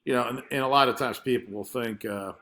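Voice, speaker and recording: A man's voice: mid-range voice, fast, vocal fry, pushed and bright. A man explaining marketing results in an informal, conversational screen-share presentation, feeling his way hesitantly through his points.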